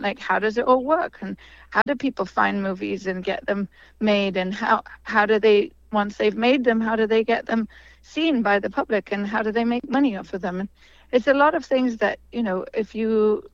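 A woman's voice: fairly high pitch, dry recording. Woman guest talking festival stuff, lots of ums, dry dull mic. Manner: chatty, hesitant with many fillers. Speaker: guest, film festival organizer. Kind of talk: casual radio interview.

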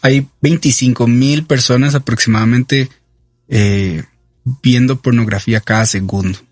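Guy hosting an interview, medium pitch, very dry audio, conversational. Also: steady tone, a little slow, darkish tone, no echo. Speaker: interviewer, host.

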